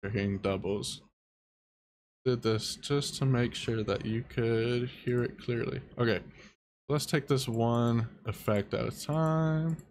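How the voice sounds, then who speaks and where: Soft-spoken slow young man streamer. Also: medium pitch, slight background noise. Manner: soft-spoken, halting, reads chat aloud. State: dry mouth. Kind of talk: casual live-stream tutorial.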